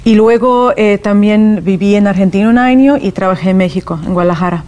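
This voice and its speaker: A woman's voice: mid-range voice, a little fast, a little mellow. Woman guest explaining with many fillers. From Soviet Union, raised in California. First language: Russian. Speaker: guest, language teacher. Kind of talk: TV interview.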